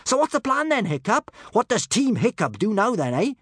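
A man's voice: sits high, wildly swooping pitch, normal speed, a bit bright, husky, no echo, studio quality, pitched above the performer's own voice, exaggerated voice. A man voicing a fearless young Viking girl, bold and breezy, in a children's audiobook. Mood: brisk, scornful.

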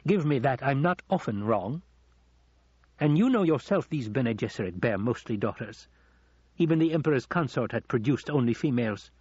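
Guy doing a nasty sneering henchman in an audiobook, husky and insinuating, dry dull recording. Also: expressive, moderate pace, a little mellow, no echo. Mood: defensive. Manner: low, muttered, insinuating delivery. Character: sneering, sullen, venomous male henchman advisor.

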